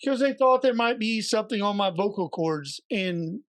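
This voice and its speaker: A man co-hosting a podcast, slightly hoarse and raspy, telling a story in a chatty way with joking asides. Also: high voice, expressive, moderate pace, no echo, clean studio sound. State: a little hoarse. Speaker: podcast co-host. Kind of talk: casual podcast chat.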